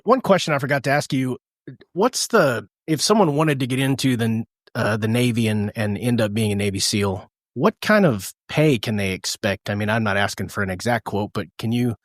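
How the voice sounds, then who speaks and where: A man's voice: medium pitch, moderate pace, no echo. Man, podcast host, hesitant questions, dry close sound. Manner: hesitant, trails off softly. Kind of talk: interview questions.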